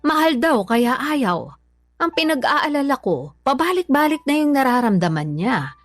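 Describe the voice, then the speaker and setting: An actress playing a worried, patient mother in a Filipino radio drama, pleading gently with a Filipino accent. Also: high-pitched, very animated, moderate pace, dry recording, faint music behind. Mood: troubled. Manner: pleading, concerned. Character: worried, patient, long-suffering mother and wife.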